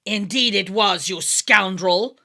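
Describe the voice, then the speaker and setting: Woman playing a blustering peasant man; lower, loud, boastful, bright tone, storybook. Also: sing-song, slow, heavily acted. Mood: angry.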